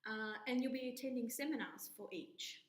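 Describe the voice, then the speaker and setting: A woman's voice: on the high side, normal speed, echoey room. An Australian woman lecturer introducing a university course module in a chatty way, with frequent ums and restarts.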